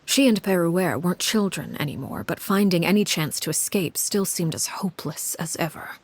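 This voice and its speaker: A woman voice actor playing a composed, cold, commanding woman who narrates a grim past in a game, in a measured, grave, articulate manner. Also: fairly low pitch, a little hiss or hum, close to an everyday voice. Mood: grave, restrained. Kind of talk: scripted video game story narration.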